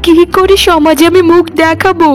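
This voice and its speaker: A woman voicing a greedy, jealous sister-in-law in a cartoon, shrill, demanding and impatient. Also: steady tone, moderate pace, faint music behind. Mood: distraught, wailing. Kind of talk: melodramatic cartoon dialogue.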